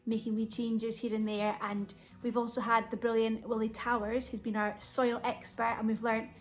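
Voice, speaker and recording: A woman's voice: on the high side, level tone, moderate pace. A woman recounting recent work in an interview. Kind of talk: behind-the-scenes interview narration.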